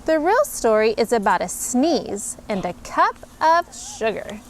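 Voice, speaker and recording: A woman with a high voice reads a storybook aloud at home, her pitch swooping all over as she plays up the lines. She reads like a mother entertaining her kids, with playful emphasis. A faint hiss sits under the voice. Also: measured pace. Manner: animated, expressive reading with playful emphasis. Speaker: mother reading a picture book aloud.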